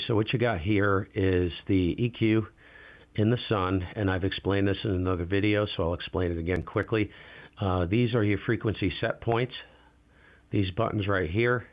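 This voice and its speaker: Man, very low deep voice, casual live radio tech demo, relaxed, thinking aloud. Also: lo-fi sound. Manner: relaxed, talks to himself while adjusting gear. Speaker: ham radio livestream host.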